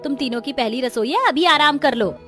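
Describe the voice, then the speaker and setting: A woman's voice: sits high, a little fast, a bit bright, faint music behind. A woman voicing an older, authoritative but fair mother-in-law in a cartoon story. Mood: instructive.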